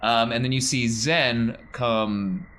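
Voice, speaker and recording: A man's voice: mid-range voice, steady tone, slow, fairly bright tone. A man acting as game master, casually narrating a tabletop game with playful asides. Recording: music behind the voice.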